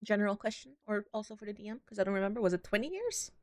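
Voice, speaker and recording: A woman's voice: medium pitch, lively intonation, very fast, no echo. Woman playing a tabletop game, dry sound. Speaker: player in a tabletop roleplaying game. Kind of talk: casual in-character tabletop game chat.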